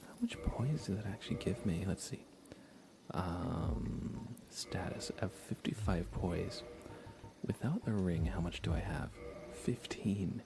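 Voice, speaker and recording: A man softly giving casual ASMR commentary as he livestreams a game, often slipping into a near-whisper. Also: low-pitched, expressive, a little slow, vocal fry, noisy background. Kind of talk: soft ASMR gaming commentary, casual chat.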